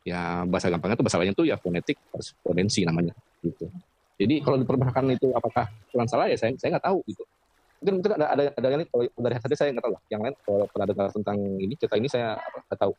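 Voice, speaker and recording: A man's voice: fairly low pitch, rapid-fire, a little mellow, husky, dry recording. A man in an online debate answering a question hesitantly, with many fillers.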